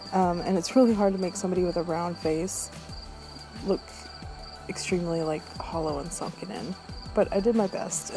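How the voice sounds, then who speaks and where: Subdued, mellow low-voiced woman. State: unwell, throat trouble with throat clearing. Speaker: makeup tutorial host. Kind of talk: casual tutorial voice-over. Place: voice-over recorded apart from the footage.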